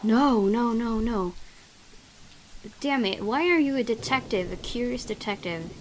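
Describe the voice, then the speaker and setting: A woman's voice: on the high side, a little slow, shouting, a little hiss or hum, low-quality recording. A woman hosting a gaming video, reading a visual novel aloud dramatically and reacting with open emotion. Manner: expressive, exclaiming at the game.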